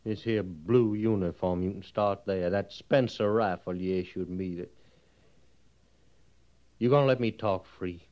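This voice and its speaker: A sullen, defiant rebel soldier with a rustic drawl in a radio western. His voice sits fairly low and carries a lasting bitterness. The recording is old and muffled. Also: a little slow. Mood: bitter, hateful. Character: bitter, defiant ex-Confederate prisoner turned cavalry trooper.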